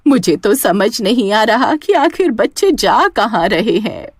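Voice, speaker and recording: A woman voicing a concerned village wife and mother, earnest and questioning, in an animated story. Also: on the high side, unhurried, breathy edge, no echo, studio quality, full character voice. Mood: worried.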